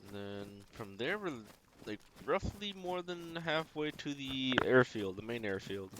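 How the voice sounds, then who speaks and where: A man giving casual, low-key video game commentary, thinking aloud as he plays. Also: expressive, a little slow, background noise. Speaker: game streamer.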